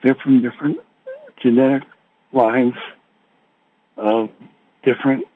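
A man hosting a spiritual conference call, rambling informally and haltingly as he searches for his words. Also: medium pitch, fairly even intonation. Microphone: telephone conference call. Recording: tinny phone line with background hiss.

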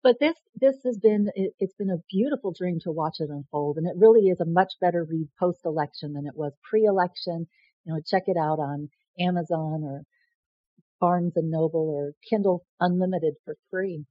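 A middle-aged woman talks casually to her viewers in a lower voice, circling back and restarting her sentences. The recording is dull and lo-fi, with a very dry sound. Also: normal speed, dry recording. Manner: rambling with frequent restarts and repeated words. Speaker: host and self-described presidential candidate. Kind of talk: casual direct-to-camera monologue.

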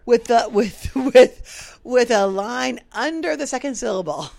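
An American woman chatting casually and comically as a co-host, with an animated, teasing, conversational manner. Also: a little high, measured pace, creaky. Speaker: podcast co-host. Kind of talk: casual comedic chat.

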